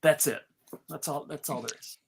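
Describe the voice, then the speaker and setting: A host casually running a live event, announcing in a theatrical, mock-serious way with halting pauses. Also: mid-range voice, gravelly, breathy edge. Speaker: panel host and narrator.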